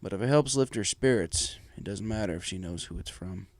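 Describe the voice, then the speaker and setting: A man's voice: lowish voice, fairly quick, darkish tone, no echo, pitched below the performer's own voice, lightly acted. A man voicing a caring adult male pegasus factory manager in a storybook reading, subdued and earnest. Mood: quiet concern.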